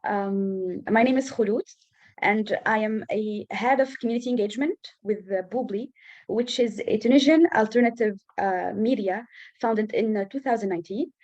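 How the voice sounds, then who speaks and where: A woman panelist introducing herself over a video call, speaking in a careful, halting way. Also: mid-range voice, darkish tone. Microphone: video call, camera off. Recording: muffled lo-fi call audio.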